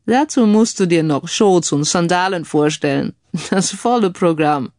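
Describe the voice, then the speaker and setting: A man's voice: high voice, animated, measured pace, darkish tone, dry recording, studio quality. A woman voicing a confident, analytical male computer sleuth who drops English phrases into his explanations in an audiobook. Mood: amused. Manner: peppers speech with English phrases.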